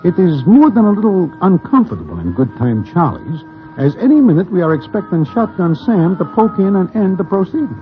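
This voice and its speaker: An actor playing a wary small-time New crook who narrates a 1940s radio drama in stilted, formal gangster slang. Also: male voice, high voice, very animated, a little fast. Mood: wry narration. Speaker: narrator and character. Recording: dull vintage radio recording, music behind.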